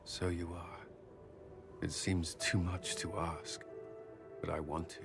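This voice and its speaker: A man's voice: sits low, level tone, takes it slowly, creaky, over loud music, exaggerated voice. An actor playing a reserved, awkward military commander in his thirties in fantasy game dialogue, earnest and a little hesitant. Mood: nervous, tender. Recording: game audio with background ambient noise.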